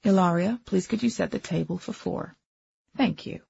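A woman voicing a mild, conciliatory wealthy father in an audiobook, gently measured in manner. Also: lowish voice, creaky, slightly rough, dry recording, dull audio, exaggerated voice. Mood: placating.

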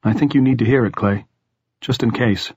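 A man voicing a calm, reflective grown man. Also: mid-range voice, normal speed, husky, dry recording, highs cut off, close to an everyday voice.

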